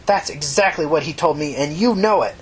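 A woman's voice: low voice, brisk, slight background noise, pitched above the performer's own voice, lightly acted, poor audio. A woman voicing a venomous, scornful woman who accuses emphatically in an audiobook story. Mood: furious, accusing. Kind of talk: audiobook character dialogue.

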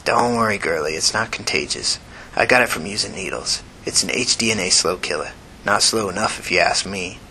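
A man voicing a sickly, thin, resigned man who speaks softly and unhurriedly, mumbling with a slight Southern accent. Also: deep voice, a little hiss or hum, exaggerated voice, rough recording. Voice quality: soft, mumbling. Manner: folksy, unhurried.

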